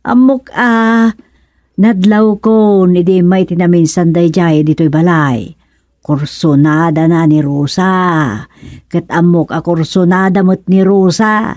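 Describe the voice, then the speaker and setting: A woman's voice: lowish voice, fairly even intonation, slow, a little mellow, no echo, clean studio sound. A woman with an Ilocano accent playing a frank, knowing middle-aged village wife and mother in a radio comedy-drama. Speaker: radio serial actor.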